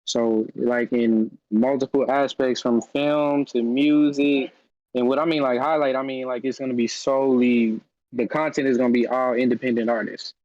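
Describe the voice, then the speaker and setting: A man chatting as a podcast guest over a video call, relaxed and enthusiastic, leaning on fillers as he goes. His voice sits at a middling pitch. The audio is dry and slightly dull. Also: moderate pace, dry recording. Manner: loose, filler-heavy, enthusiastic talk. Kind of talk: casual chat. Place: home room on a video call.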